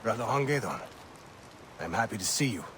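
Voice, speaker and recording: A man playing a loyal warrior companion in a video game, earnest and serious, speaking formally and deliberately. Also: lowish voice, sing-song, noisy background. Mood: relieved greeting. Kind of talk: video game dramatic acting.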